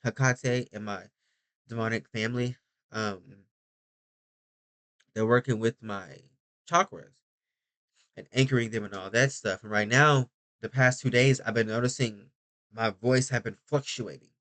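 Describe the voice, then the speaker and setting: A man's voice: mid-range voice, steady tone, a little slow, long pauses, a bit bright. A young man rambling through a casual video diary, chatty and often interrupting himself. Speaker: vlogger.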